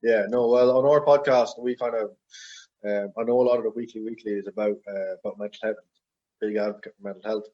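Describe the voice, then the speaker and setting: Husky young man rambling. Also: lowish voice, steady tone, a little fast, darkish tone, highs cut off. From Ireland. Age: 20s. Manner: rambling, heavy with fillers and hedges. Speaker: podcast guest. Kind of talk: casual interview chat.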